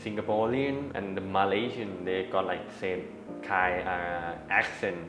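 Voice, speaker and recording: A man's voice: deep voice, fairly even intonation, a little slow, echoey room, music in the background. A man with a Thai accent, a native Thai speaker co-hosting a casual house tour and searching for English words as he talks. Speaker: co-host of a house tour channel.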